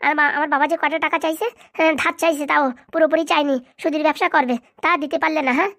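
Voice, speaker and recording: Woman playing a fast, nagging cartoon wife. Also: high-pitched, fairly even intonation, gravelly, fairly bright tone, dry recording, clean studio sound. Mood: scolding. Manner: scolding, insistent. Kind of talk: amateur comedy cartoon dialogue.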